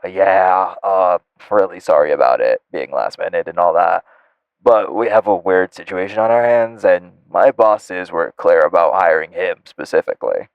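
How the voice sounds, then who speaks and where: Casting: male actor as courteous, hesitant caller for audio drama, deep register, slightly muffled recording. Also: moderate pace, creaky, clean tone, dry recording. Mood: awkward, courteous. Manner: hesitant, apologetic, polite. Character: polite, awkward male assistant on a business call. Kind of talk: audio roleplay acting.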